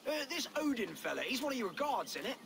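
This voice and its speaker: An actor playing a greedy, skittish medieval merchant with an exasperated, colloquial manner in a video game. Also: male voice, extremely high pitch, big pitch swings, fast, gravelly, noisy background, heavily acted. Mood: skeptical. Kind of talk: dramatic video game acting.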